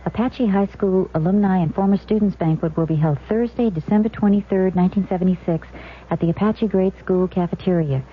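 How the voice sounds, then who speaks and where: A woman's voice: medium pitch, a little fast, slightly rough. A woman with an American accent reading scripted community announcements on local radio. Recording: tinny, faintly noisy vintage radio recording.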